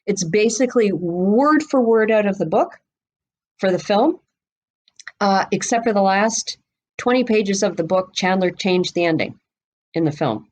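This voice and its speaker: A woman's voice: mid-range voice, expressive, measured pace. A woman speaking as a podcast guest, explaining things conversationally in an expansive, knowledgeable way. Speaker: guest expert on a book podcast. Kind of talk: conversational explanation of literary history.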